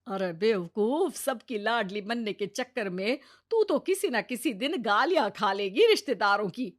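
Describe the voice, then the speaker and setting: A woman plays an older mother-in-law from a moral-story cartoon. Her voice is fairly high, and she chides in a blunt, exasperated way. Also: expressive, normal speed. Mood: scolding, exasperated. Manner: blunt, chiding.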